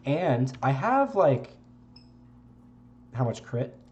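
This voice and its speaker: A young man with a congested voice, giving relaxed, rambling gameplay commentary and swearing casually. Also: medium pitch. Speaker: game streamer.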